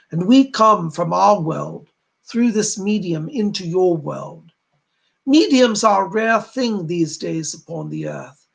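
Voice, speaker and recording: A man channels a solemn, benevolent male spirit guide. The voice sits unusually high for a man and is husky, with a creaky edge. He speaks in formal, old-fashioned phrases, like a preacher at a séance. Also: a bit bright, heavily acted. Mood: grave, earnest. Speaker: trance medium speaking as a spirit. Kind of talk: séance address, sermon-like.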